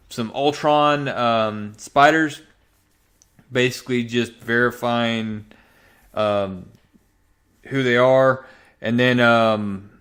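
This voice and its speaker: Clear-voiced sarcastic man reviewing a comic. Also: medium pitch, a bit bright. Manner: sarcastic, exasperated. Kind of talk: casual review commentary.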